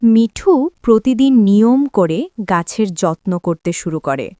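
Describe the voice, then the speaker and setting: A woman's voice: mid-range voice, lively intonation, measured pace, dark tone, no echo. A woman reading scripted narration for a children's cartoon story.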